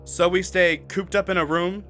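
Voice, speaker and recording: A man's voice: sits high, steady tone, moderate pace, sharp tone, soft background music. A man acting a defiant, scornful, argumentative expedition linguist in an audio drama, speaking with emphatic delivery. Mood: angry defiant. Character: defiant, hot-headed male linguist on a doomed expedition.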